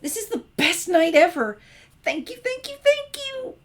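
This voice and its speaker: Give this storybook character a high, eager voice: a nerdy teenage girl who loves stargazing played by a woman narrator. She gushes excitedly and gets flustered easily. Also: unhurried, heavily acted. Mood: ecstatic. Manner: eager, gushing, stammering when flustered.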